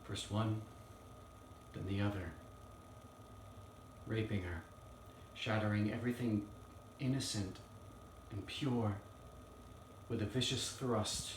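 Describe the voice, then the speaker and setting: A man playing an earnest young defense lawyer in a dramatic courtroom monologue, solemn and halting with weighty pauses. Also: lowish voice, steady tone. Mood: grave, hushed. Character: earnest young male Southern defense lawyer pleading to jury. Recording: roomy, distant mic, faint noise.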